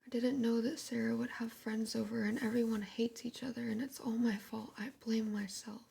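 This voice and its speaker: A woman whispering the lines of a distraught, frightened young woman influencer, in a breathy voice and a rushed, pleading manner. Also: fairly high pitch, little pitch movement, mellow, heavily acted.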